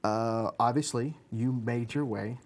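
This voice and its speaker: A man's voice: medium pitch, measured pace. A man in his thirties hosting a community TV interview show from a small studio desk, asking friendly, easygoing questions into a lapel mic. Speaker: host.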